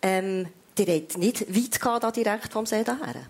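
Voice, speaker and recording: A woman with a Swiss German accent hosting a live talk show from a theatre stage, asking chatty, lively questions. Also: mid-range voice, moderate pace. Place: theatre stage before an audience.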